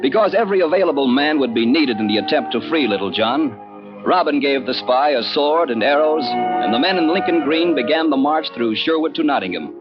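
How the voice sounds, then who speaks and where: A man's voice: mid-range voice, expressive, fairly quick. A man giving dramatic scripted narration for an old-time radio play. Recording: dull old radio broadcast. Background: music.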